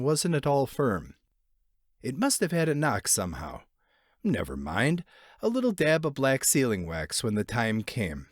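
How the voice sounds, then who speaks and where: A man reads literary fiction as an audiobook narrator, his pitch moving in lively sweeps around a medium register. He shapes each phrase expressively and leaves lingering pauses. The sound is close and dry, with no room echo. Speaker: narrator, short story reader.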